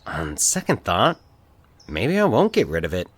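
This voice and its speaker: Man acting a low-voiced wistful man musing aloud. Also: huge pitch swings, unhurried, husky, exaggerated voice. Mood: musing, resolute. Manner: muttering, musing to himself. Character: grieving man talking to himself, wistful, resolute.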